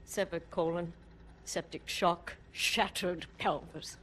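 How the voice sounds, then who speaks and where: An actress playing a battle-hardened resistance leader in a video game cutscene, blunt, dry and commanding. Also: female voice, fairly low pitch, steady tone, slow pace, a bit bright, slight background noise. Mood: dry, wry.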